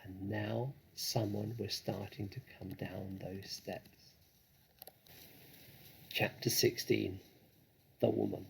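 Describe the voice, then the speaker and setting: A man narrating a children's story in a deliberate, expressive, dramatic way. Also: fairly low pitch, vocal fry, slight background noise, poor audio. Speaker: storybook reader.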